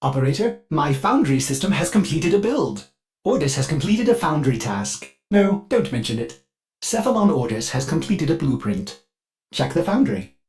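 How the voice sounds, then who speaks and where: An actor voicing a devoted ship computer AI in a video game, fussy, polite and eager with violent outbursts, through glitchy synthetic processing. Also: male voice, fairly high pitch, sing-song, moderate pace, a little mellow, faint music behind. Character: fussy, devoted ship computer AI, male, with flashes of bloodthirsty rage. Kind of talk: scripted video game character lines.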